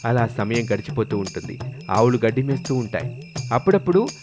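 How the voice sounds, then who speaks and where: Male narrator, mid-pitched, scripted moral tale, clear music bed underneath. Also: big pitch swings, brisk. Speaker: story narrator. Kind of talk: scripted storytelling narration for a moral story cartoon. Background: background music.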